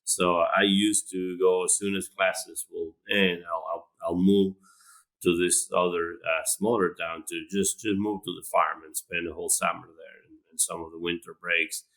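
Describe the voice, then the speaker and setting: Deep-voiced man from Argentina. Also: little pitch movement, moderate pace, fairly bright tone. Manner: hesitant, many fillers and restarts. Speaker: animal science professor, guest. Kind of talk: casual interview talk.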